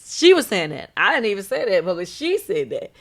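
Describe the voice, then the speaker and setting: A hoarse woman hosting a casual talk show, outspoken and blunt in her opinions. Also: mid-range voice, very animated, moderate pace. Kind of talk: casual gossip chat.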